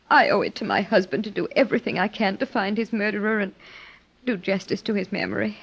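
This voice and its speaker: An actress playing an earnest, grieving young wife in old-time radio drama style, speaking haltingly with emotion. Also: female voice, medium pitch, vocal fry, breathy edge. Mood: troubled, earnest. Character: grieving young wife of a wealthy older man. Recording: dull, lo-fi old radio recording.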